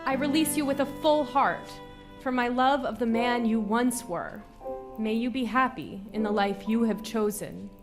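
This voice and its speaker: A woman plays a tender, sorrowful young sweetheart in a radio drama. Her voice is fairly high, earnest and measured, with clear music behind it. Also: natural voice.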